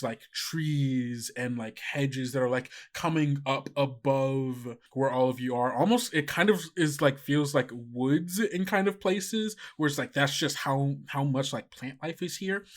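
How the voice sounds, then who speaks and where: Slow, casual man running the game. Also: medium pitch. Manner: casual, many fillers. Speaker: game master. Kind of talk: narrating a tabletop game.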